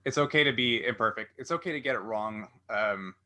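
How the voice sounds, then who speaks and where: A man's voice: mid-range voice, a little fast, bright, forceful tone. A man hosting a conversational interview, feeling his way through questions with tentative, circling phrasing. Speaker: interview host.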